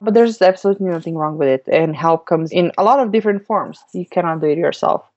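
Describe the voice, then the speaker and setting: Brief: woman in her thirties, podcast guest, lower voice, muffled lo-fi sound, chatty with lots of ums. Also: fast, a little mellow. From Slovenia. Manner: chatty, laughs easily, many "um"s. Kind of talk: podcast interview. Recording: dull lo-fi audio.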